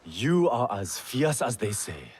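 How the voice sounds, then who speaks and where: An actor playing a cynical, scheming smuggler merchant in a video game, suave, sly and mock-polite. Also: male voice, mid-range voice, sing-song, slow, darkish tone. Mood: sly, businesslike. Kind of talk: video game cutscene acting. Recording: music faintly behind the voice.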